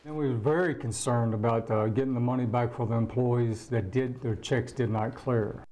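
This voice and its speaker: Mellow middle-aged man, plain-spoken. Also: medium pitch, steady tone, moderate pace. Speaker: business owner being interviewed. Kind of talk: unscripted interview answers. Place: small office. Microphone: lapel mic.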